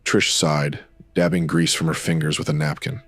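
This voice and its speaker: An actor playing the brooding narrator of a pulp noir audio drama, terse, dramatic and ominous. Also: male voice, extremely low pitch, steady tone, moderate pace, fairly bright tone, no echo, full character voice.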